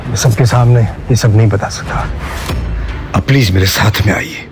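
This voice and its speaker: A man playing a wealthy, stubborn old grandfather and tycoon in an audio drama, speaking with deliberate authority. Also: on the low side, over music, lightly acted. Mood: earnest. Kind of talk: Hindi audio drama acting.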